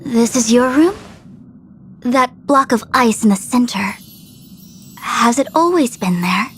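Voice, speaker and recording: A woman's voice: a little high, expressive, slow, slight background noise. A woman voicing a composed, authoritative female diviner in an anime game, formal and self-assured. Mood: inquiring.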